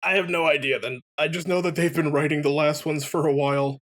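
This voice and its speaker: A man's voice: on the high side, steady tone, brisk, a bit bright. A man chatting casually and giving opinions as a game commentator, rambling and swearing as he goes. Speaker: let's player commentator.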